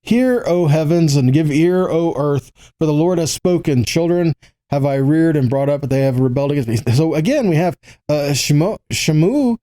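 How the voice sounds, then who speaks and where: A man giving a Bible teaching, reading quotations and commenting on them, pausing to repeat key lines for emphasis. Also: on the high side, animated, dark tone.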